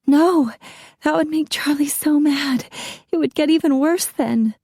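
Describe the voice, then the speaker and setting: A woman voicing a frightened young battered wife who pleads in a shrill, panicky way. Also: big pitch swings, slow, dark tone, slightly rough, dry recording, studio quality, exaggerated voice. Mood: anxious.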